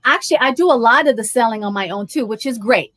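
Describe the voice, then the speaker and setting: A woman's voice: fairly high pitch, quick pace, fairly bright tone, slight background noise. A middle-aged woman from Jamaica chatting casually as a guest on a video call, talking proudly about her children and her art. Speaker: guest artist.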